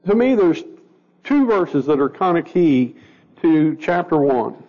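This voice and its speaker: A man's voice: on the high side, sing-song, a little slow. A man teaching an informal Bible study class, a little scratchy and stuffed up, talking plainly and often repeating words as he questions the group. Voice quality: gravelly. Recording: dull lo-fi recording.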